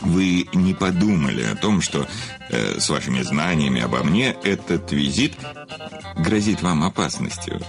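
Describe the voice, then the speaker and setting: An actor playing a famous crime novelist in an audio drama, an urbane, cynical self-confessed murderer who talks grandly, with ironic hesitations. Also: male voice, rumbling low, animated, normal speed, gravelly, over music, exaggerated voice. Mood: amused, menacing. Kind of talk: theatrical audio drama dialogue.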